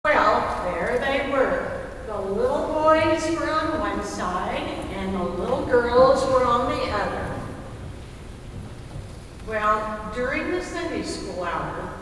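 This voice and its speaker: Echoey, animated high-pitched woman storyteller. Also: takes it slowly. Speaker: readers theater performer telling stories. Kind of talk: staged storytelling. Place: large reverberant hall, live performance. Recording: distant mic, echoey hall, faint noise.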